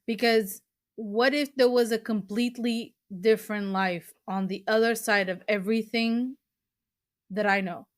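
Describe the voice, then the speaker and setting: Slow, earnest woman. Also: a little high, steady tone, no echo, clean studio sound. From Portugal. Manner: slow, earnest, with fillers and restarts. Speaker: podcast host. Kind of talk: casual podcast talk recommending books.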